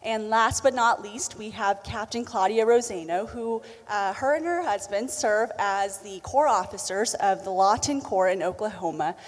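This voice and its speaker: A woman's voice: mid-range voice, moderate pace, few pauses. A woman moderating a conference panel, introducing each guest in a friendly way with a few fillers. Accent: American Southern. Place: conference hall. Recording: long reverb of a large hall.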